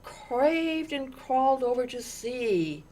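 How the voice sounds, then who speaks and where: A woman reading a children's story aloud in an expressive, deliberate way, with dramatic pauses and stressed words. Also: sits high, poor audio. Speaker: storytime reader.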